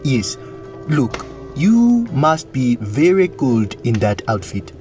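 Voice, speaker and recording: Man acting haughty young prince, earnest, slow deliberate delivery, swooping mid-range pitch, fantasy radio drama. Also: sing-song, darkish tone. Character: proud, earnest, easily flustered young prince. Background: music.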